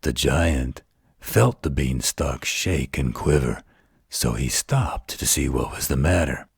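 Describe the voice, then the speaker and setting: Male actor as werewolf, rock-bottom pitch, heavily husky, slow, gentle, protective, bedtime roleplay audio, close dry sound. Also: expressive, no echo, full character voice. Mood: hushed storytelling. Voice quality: gravelly, husky. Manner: soothing, unhurried, tender.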